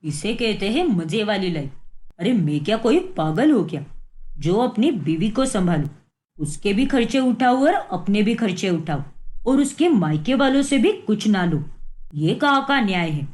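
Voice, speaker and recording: A woman's voice: mid-range voice, lively intonation, normal speed, darkish tone, close to an everyday voice. A woman voicing a deceitful, self-pitying young husband in a cartoon moral story, with a dramatic, whiny delivery. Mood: sly, smug.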